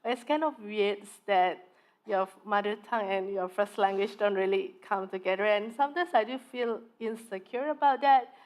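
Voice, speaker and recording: A woman talks casually as an author on a festival panel. Her voice sits at a middle pitch, and she is self-deprecating, dropping little laughs between thoughts. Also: moderate pace, darkish tone, low-quality recording. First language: Indonesian.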